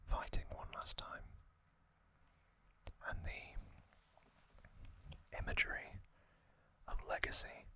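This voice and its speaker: A man hosting ASMR, narrating in a soft, breathy whisper with a gentle, reflective manner and pauses, close to the microphone. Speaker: ASMR video host.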